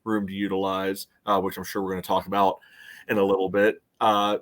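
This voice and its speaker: Lowish-pitched American man, halting sports chat. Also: completely flat delivery. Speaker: sports podcast co-host. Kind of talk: casual soccer analysis.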